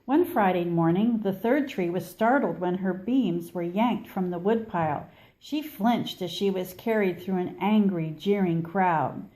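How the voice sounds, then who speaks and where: A woman reading a children's story aloud expressively, with measured delivery and dramatic pauses. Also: fairly low pitch, warm tone, rough recording. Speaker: storybook reader.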